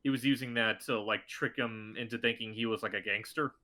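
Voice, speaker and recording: Guy voice actor on a podcast, bright tense tone, rambles with lots of likes and ums. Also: fairly low pitch, fairly even intonation, a little fast. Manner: rambling, filler-heavy storytelling. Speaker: voice actor guest. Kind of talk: casual podcast chat.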